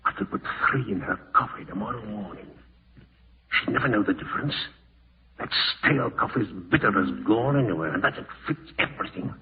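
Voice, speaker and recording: A man's voice: lowish voice, animated, fairly quick, lightly acted. An actor playing a callous, scheming man in a 1940s radio horror drama, muttering to himself and snappish, with melodramatic delivery. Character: scheming, callous male relative plotting murder, panicking and irritable. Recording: scratchy, tinny old-time radio broadcast.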